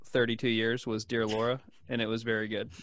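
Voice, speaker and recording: A man's voice: mid-range voice, flat delivery, fairly quick, fairly bright tone. A man in casual, joking chat from a room at home on a video call. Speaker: musician of the show.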